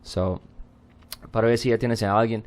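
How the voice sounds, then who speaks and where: A man's voice: on the low side, fairly even intonation, fairly quick. A man whose first language is not Spanish, casually explaining song lyrics word by word with many fillers. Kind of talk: casual lyric translation lesson.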